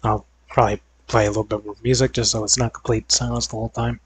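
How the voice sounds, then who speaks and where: A young man talking in a casual, rambling way with lots of fillers, as a video creator chatting before gameplay. His voice is starting to go hoarse. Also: fairly low pitch, flat delivery, quick pace, slight background noise. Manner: rambling, filler-heavy and hedging. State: voice going hoarse. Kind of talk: casual gaming vlog chat.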